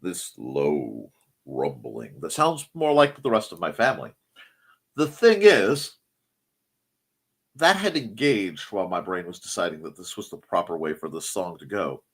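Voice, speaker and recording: Middle-aged male co-host, husky and slightly worn voice, slow unhurried delivery with lively swings in pitch, casual rambling chat. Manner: rambling, searching for words, wry asides. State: recovering from losing his voice.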